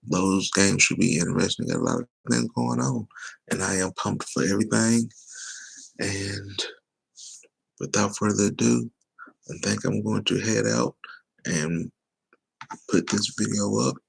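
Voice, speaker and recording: A man chatting loosely and teasingly on a sports talk livestream, with a voice he says is going hoarse. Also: lowish voice, fairly even intonation, measured pace, long pauses, poor audio. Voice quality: gravelly, husky. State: losing his voice. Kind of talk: casual livestream chat.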